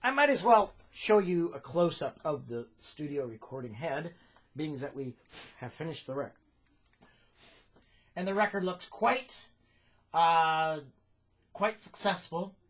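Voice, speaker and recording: High-pitched American man explaining deliberately. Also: animated. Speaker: phonograph recording demonstrator. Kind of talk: informal technical explanation.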